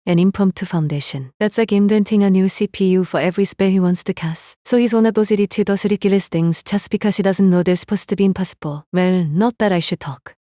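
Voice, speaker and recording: A woman's voice: mid-range voice, fast talker, hardly any pauses, dark tone, no echo, clean studio sound, close to an everyday voice. A woman voicing a cool, self-assured female mage, musing and sardonic in a nonchalant way. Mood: musing, wry.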